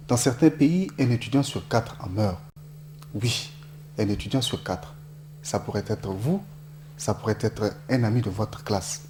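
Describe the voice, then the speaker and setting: A low-pitched male presenter speaks in a scripted, didactic way. He stresses his points and puts questions straight to the viewer. A faint noise sits behind the voice. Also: a little mellow. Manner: emphatic, didactic, with rhetorical questions. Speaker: presenter of an educational film.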